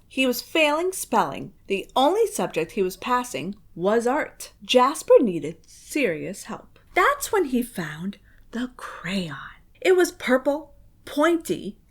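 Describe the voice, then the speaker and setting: A woman narrates a spooky picture book for children. Her voice is high and very lively in pitch, and she takes her time. She is theatrical and suspenseful, leaning into hushed drama. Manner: expressive, suspenseful, often dropping to a dramatic whisper.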